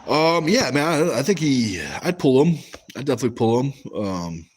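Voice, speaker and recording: A man's voice: medium pitch, animated, normal speed, a little mellow, a little hiss or hum. A young American man hosting a casual livestream, reading chat and rambling through stories with lots of fillers and restarts. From West Coast of the US.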